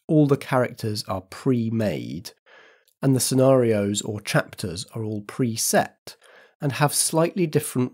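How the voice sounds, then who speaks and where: A man's voice: on the low side, a little mellow. A man with a British accent, casually narrating and chatting as game master and host of a role-playing podcast.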